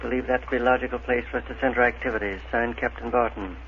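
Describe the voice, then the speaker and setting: Man as a fast-talking dignified scientist, vintage radio. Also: mid-range voice, steady tone, close to an everyday voice. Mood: brisk, matter-of-fact. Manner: brisk, precise, formal diction. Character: dignified, earnest scientist-inventor, a doctor. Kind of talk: 1930s radio adventure serial acting. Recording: noisy, narrow-band 1930s radio recording.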